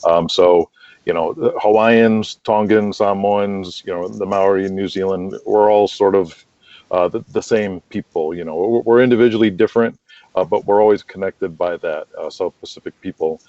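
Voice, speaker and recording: A man's voice: sits low, steady tone, normal speed, warm tone, no room sound, lo-fi sound. A middle-aged American man telling rambling anecdotes casually as an interview guest. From United States. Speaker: interview guest, wrestling promoter and manager.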